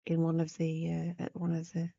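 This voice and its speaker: A woman with a croaky, hoarse voice from a cold, giving a casual, chatty craft tutorial and thinking aloud as she goes. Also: sits low, completely flat delivery, normal speed, dark tone, muffled recording. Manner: unscripted, rambling, thinking aloud while working. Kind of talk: casual chatty needlework demonstration.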